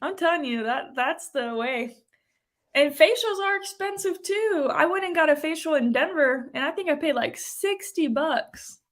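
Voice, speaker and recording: A woman's voice: sits high, expressive, normal speed, a bit bright. A woman in her twenties hosting a casual live chat and reading out comments in a chatty, giggly way. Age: 20s.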